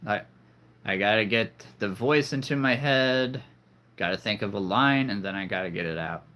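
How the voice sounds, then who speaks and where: A man with a fairly low but bright, forward voice hosts a live stream. He talks in a loose, rambling way and keeps slipping in corny puns. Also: moderate pace. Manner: rambling, jokey, punning. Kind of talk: casual live-stream chat.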